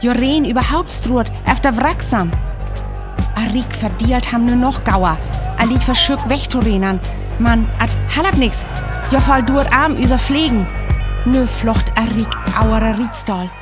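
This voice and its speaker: An actress playing a grave radio reporter at a disaster, with a North Frisian accent, in a radio drama. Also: female voice, mid-range voice, a little slow, over music, lightly acted. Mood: alarmed, urgent. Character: grave female radio reporter witnessing a Martian invasion.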